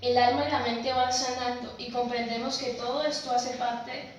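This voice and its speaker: A woman's voice: a little high, level tone, moderate pace, slight background noise. A woman English teacher speaking on a panel in an earnest, reflective way, with some stumbles. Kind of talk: academic panel discussion. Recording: echoey room, far from the mic.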